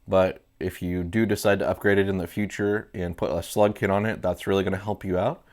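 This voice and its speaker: A man's voice: deep voice, brisk. A man in his thirties with a stuffy nose from a cold, casually walking through the features of his airgun in an indoor studio. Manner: relaxed, easygoing explanations with fillers. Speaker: airgun reviewer. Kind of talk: casual product walkthrough. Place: indoor studio room with red lights.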